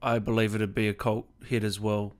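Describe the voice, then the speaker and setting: A man's voice: lowish voice, level tone, normal speed, a crowd in the background. A man hosting a casual fan interview, asking questions in an enthusiastic, agreeable way.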